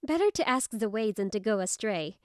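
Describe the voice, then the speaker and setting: A woman's voice: medium pitch, animated, brisk, dry recording, close to an everyday voice. An actress playing a firm, protective young female office senior in a manga story dub, assertive and plain-spoken. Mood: tender.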